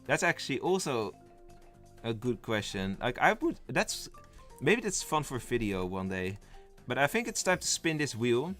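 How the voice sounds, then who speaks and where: A man's voice: mid-range voice, very animated, normal speed, fairly bright tone, soft background music. Dutch man chatting on a live stream. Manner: rambling, thinks aloud, reads chat and lists. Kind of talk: casual live-stream chat.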